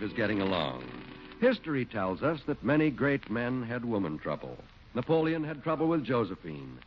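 A man announcing and narrating a 1940s radio sitcom with a smooth, wry delivery. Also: medium pitch. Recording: dull lo-fi 1940s radio recording with hiss.

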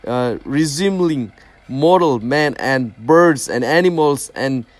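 A man from Nepal with a Nepali accent, a native Nepali speaker reading scripture very slowly and stumbling over hard words. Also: mid-range voice, animated, no room sound.

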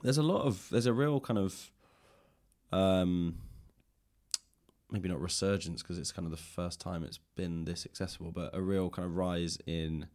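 Low-pitched man hosting a chat. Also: normal speed, a little mellow. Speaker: podcast host and interviewer. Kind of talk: relaxed conversational interview. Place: indoors, in a library.

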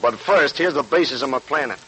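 Actor as a cocky, forceful 1940s radio gangster. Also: male voice, mid-range voice, fairly quick, lightly acted. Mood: scheming, boastful. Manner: boastful, emphatic, slangy tough-guy talk. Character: cocky, swaggering male gangster bank robber craving money and nightlife. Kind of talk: 1940s radio crime drama acting. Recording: noisy vintage 1940s radio recording.

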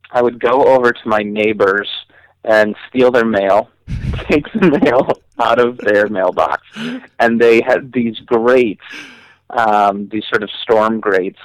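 A man with a mid-pitched voice tells comic personal stories as an interview guest. He chats casually in a wry, mock-serious way, recorded dry with little room sound. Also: slow pace, no echo, rough recording. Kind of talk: casual humorous storytelling.

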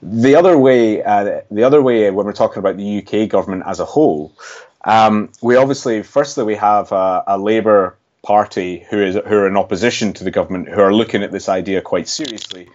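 A Scottish man speaking in a very low voice as a guest on a podcast. He explains things in a measured way and often restarts his sentences mid-thought. The audio sounds dull and lo-fi. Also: darkish tone. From Scotland. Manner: measured, thinking aloud with frequent restarts. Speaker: economist guest. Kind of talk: podcast interview answers.

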